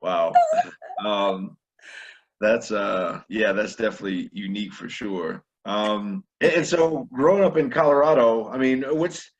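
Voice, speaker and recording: A man's voice: lowish voice, measured pace, muffled recording. A man hosting a casual interview over a webinar call, rambling with stammered restarts and slangy phrasing. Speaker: host. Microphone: webinar video call.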